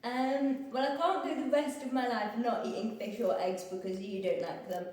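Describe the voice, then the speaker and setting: A woman's voice: on the high side, moderate pace, a little mellow. Young woman, echoey and far from mic. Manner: chatty, frequent ums, self-deprecating. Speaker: interviewee answering couple questions. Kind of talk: casual interview answers.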